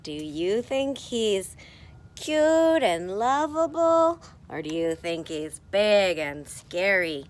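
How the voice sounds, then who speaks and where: A woman teacher reading a picture book aloud to children, animated and encouraging, with playful sound effects. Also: mid-range voice, takes it slowly.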